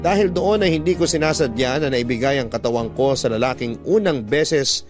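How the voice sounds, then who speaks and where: A man reading a first-person letter as a radio storyteller, with sentimental, measured phrasing. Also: medium pitch. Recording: music under the voice.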